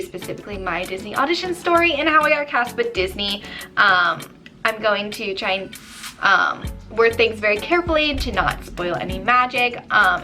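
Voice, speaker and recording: A woman in her twenties from New Jersey, slightly hoarse and losing her voice, telling a story in a chatty vlog full of ums and likes. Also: on the high side, bright, forceful tone. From New Jersey, USA. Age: 20s. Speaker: YouTuber.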